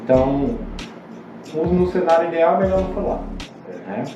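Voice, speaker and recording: Brazilian man, pastor guest, halting explanations, distant dull mic, very echoey room, music underneath. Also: a little high. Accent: Brazilian Portuguese. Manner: halting, many fillers and restarts, explanatory. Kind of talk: casual podcast talk explaining scripture. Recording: roomy, distant, dull podcast audio.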